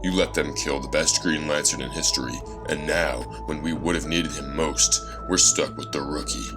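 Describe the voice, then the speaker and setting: A man's voice: a bass voice, fairly even intonation, normal speed. A man playing a grim, bitter caped vigilante in a dramatic audio story, stern and accusatory. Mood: bitter scolding. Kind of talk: dramatic fan fiction audio acting. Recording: loud music under the voice. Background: loud music.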